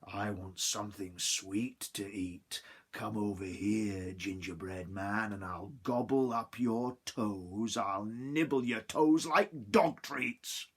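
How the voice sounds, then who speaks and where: An old storybook guard dog drawls slowly, relishing every word, as a man puts him on. The voice is husky and unhurried. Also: lowish voice. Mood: greedy. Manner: slow, drawling.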